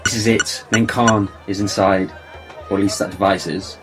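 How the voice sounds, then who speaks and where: A man's voice: on the low side, flat delivery, normal speed, lightly acted. A man playing a clever, self-assured time traveller in a fan audio drama, explaining things confidently. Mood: resolute. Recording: music bed behind voice.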